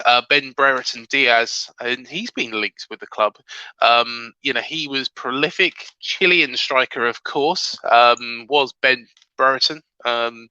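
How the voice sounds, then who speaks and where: A man with a slightly croaky voice hosts a football fan show, talking casually and hesitantly as he thinks aloud. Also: medium pitch, bright, forceful tone, dry recording, muffled recording. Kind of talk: casual transfer-rumour commentary to camera.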